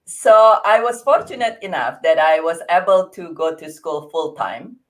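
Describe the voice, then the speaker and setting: A woman in her forties with an Indonesian accent, a guest in an interview, speaking earnestly with frequent laughs and halting restarts. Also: on the low side, animated, poor audio. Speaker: interview guest, grief coach. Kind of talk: conversational interview.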